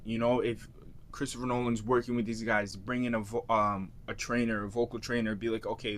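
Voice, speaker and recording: Male podcast co-host, casual movie chat, enthusiastic and rambling, heavy on fillers. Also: mid-range voice.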